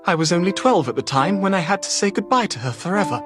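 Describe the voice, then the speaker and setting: An actor playing an earnest, heartbroken young man in an animated mystery game, speaking haltingly over music. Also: sits high. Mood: sorrowful, resolute. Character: earnest, heartbroken young man sworn to avenge his family. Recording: clear music behind the voice.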